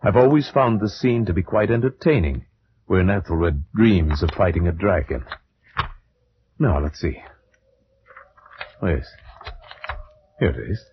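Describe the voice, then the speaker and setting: An actor playing an anxious, bookish houseguest who narrates a gothic horror tale in formal, theatrical, measured old-time radio style. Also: male voice, low voice, animated, a little hiss or hum. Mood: uneasy, soothing. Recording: muffled 1940s radio recording, music behind.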